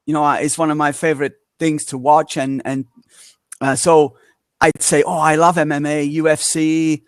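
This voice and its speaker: A British man from Manchester, answering interview questions on a video call in a relaxed, explaining way with lots of small fillers. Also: on the high side, clean tone, dry recording, studio quality. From Manchester, England. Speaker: interview guest, native English speaker.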